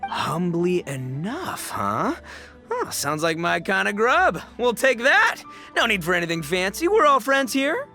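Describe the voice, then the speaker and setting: An actor playing a boisterous, good-natured young gang boss in a video game, talking in excitable bro slang with stammering bluster. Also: male voice, high-pitched, unhurried, faint music behind, heavily acted. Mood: sheepish, hearty. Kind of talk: animated video game voice acting.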